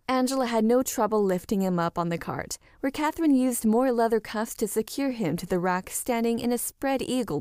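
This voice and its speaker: A woman's voice: medium pitch, a little mellow, no room sound, clean studio sound. A woman reading a scripted story as an audiobook narrator.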